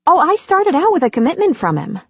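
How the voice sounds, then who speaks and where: A woman voicing a warm, anxious middle-aged woman in an audiobook, talking brightly and quickly. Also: huge pitch swings, vocal fry, no room sound, full character voice. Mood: eager. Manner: bright and eager, higher than her narration. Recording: dry, dull lo-fi audiobook recording.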